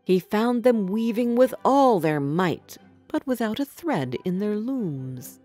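A woman narrating a scripted fairy tale for children in an expressive, measured storytelling manner. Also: medium pitch, faint music behind.

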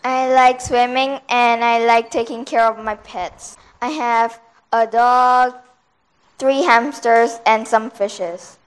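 A woman's voice: a little high, fairly even intonation, takes it slowly, smooth, poor audio. A young girl giving brief, simple answers in an interview, with a lapel mic in a small room. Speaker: interview guest.

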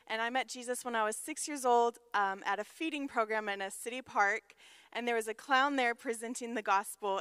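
Smooth-voiced woman sharing a church testimony. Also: fairly high pitch, fairly bright tone, no echo. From Washington State, US. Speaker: missionary. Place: large church stage.

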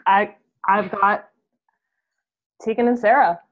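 A young woman chatting casually as a guest, in an informal, halting way. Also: mid-range voice, fairly even intonation. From Canada. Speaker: band musician, interview guest. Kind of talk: casual chat. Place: home room, video call. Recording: dull lo-fi video-call audio.

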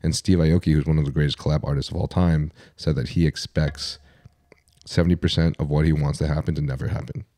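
A man's voice: extremely low pitch, steady tone, fast talker, warm tone, dry recording. A young man hosting a podcast, speaking casually and in a motivational way. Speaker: podcast host.

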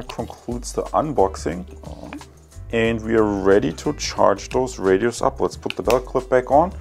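Man in his thirties, German accent, lowish voice, casual halting reviewer talk, music in the background. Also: gravelly, a little mellow. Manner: hesitant, halting, addresses viewers as guys. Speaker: product reviewer.

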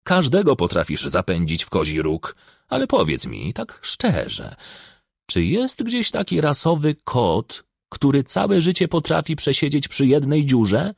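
Audiobook part for a male narrator: sly, boastful local official, lifted husky voice, very lively inflection. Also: mid-range voice, measured pace, no echo, studio quality, pitched above the performer's own voice, heavily acted. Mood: grudging bantering. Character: self-important village official, boastful, sly, smarting.

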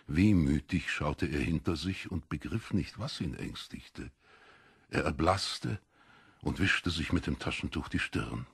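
Casting: male audiobook narrator, low and raspy, dramatic literary delivery, close dry recording. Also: animated, unhurried, dry recording.

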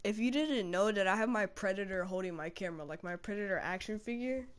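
A man giving casual, excitable commentary while playing a fighting game, shouting in fights and mumbling between rounds. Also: high-pitched, fast, background noise. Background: loud game music and sound effects.

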